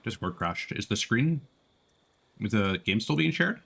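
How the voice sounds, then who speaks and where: A man's voice: fairly low pitch, very animated, unhurried, vocal fry, fairly bright tone, husky. A man chatting casually as a live streamer during gameplay, joking along as he goes.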